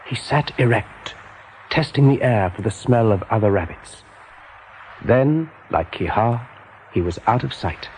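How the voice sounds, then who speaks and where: A man narrating an animal adventure as a calm storyteller in a radio play. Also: medium pitch, a little slow, a little hiss or hum, close to an everyday voice, low-quality recording. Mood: grave, measured.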